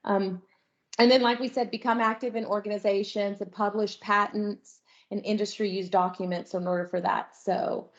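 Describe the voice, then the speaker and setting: A woman speaks in a friendly, informal way, giving practical advice to an online audience. Her voice sits at a middle pitch. The sound is dull and lo-fi, as on a video call. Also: steady tone, moderate pace. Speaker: panel speaker. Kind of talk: online lecture presentation. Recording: muffled lo-fi video call audio.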